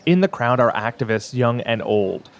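A man narrating a documentary podcast as its host, in a calm, descriptive storytelling manner. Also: medium pitch, normal speed.

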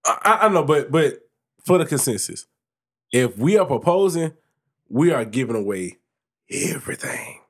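A man's voice: mid-range voice, lively intonation, moderate pace, pauses often, breathy edge. A man co-hosting a podcast, chatting casually and explaining his view at length, often restarting his sentences. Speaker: podcast co-host.

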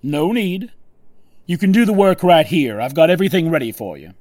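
A man's voice: on the high side, sing-song, fairly quick, darkish tone, dry recording, studio quality. A man acting as a brusque, demanding boss in an audio drama, barking orders in a commanding way. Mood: curt, commanding.

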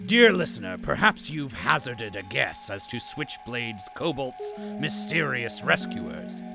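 An actor playing a formal, arch narrator who addresses the listener in a scripted audio drama. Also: male voice, mid-range voice, big pitch swings, a little slow, vocal fry. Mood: arch, grand. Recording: loud music behind the voice.

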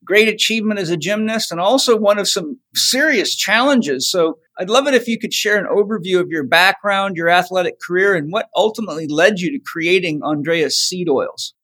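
A man hosting an interview, asking his guest questions in a smooth, friendly and measured way. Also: sits high, bright, forceful tone. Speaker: podcast host.

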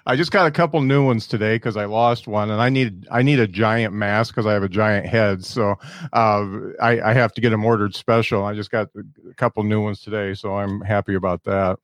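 A man's voice: lowish voice, fairly even intonation, normal speed, no echo, clean studio sound. A man hosting a podcast, laid-back and hesitant, asking casual questions. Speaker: podcast host. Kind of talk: casual interview chat.

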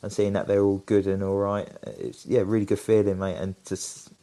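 A chatty man talks eagerly about football as a podcast co-host. His voice sits fairly low, and he rambles with plenty of fillers. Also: little pitch movement, fairly quick, mellow. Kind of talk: casual football chat.

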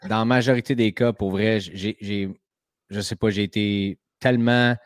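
A man's voice: on the low side, normal speed, no room sound, clean studio sound. A man with a Québécois French accent hosting a podcast, telling stories in a casual chat, full of "tu sais" fillers. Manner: animated storytelling, frequent "tu sais". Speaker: podcast host.

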